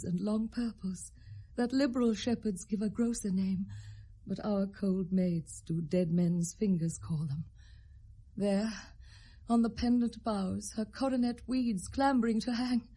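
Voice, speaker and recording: A woman's voice: a little high, dark tone, husky. An actress with a British accent playing a stately, grief-laden middle-aged queen in a Shakespearean radio drama, speaking lyrically and tremulously. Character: sorrowful, stately middle-aged queen bearing tragic news.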